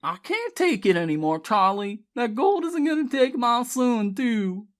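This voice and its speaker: A woman's voice: on the high side, big pitch swings, a little slow, voice pushed up. A woman voicing an anguished, guilt-ridden elderly grandmother in an audiobook, pleading emotionally yet firm. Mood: firm defiance.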